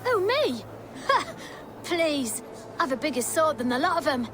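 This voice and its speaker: A woman's voice: sits high, very animated, measured pace, noisy background. A woman voicing a cocky, scornful young street urchin in a video game, brash and bragging. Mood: boastful. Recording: music and outdoor game sound behind.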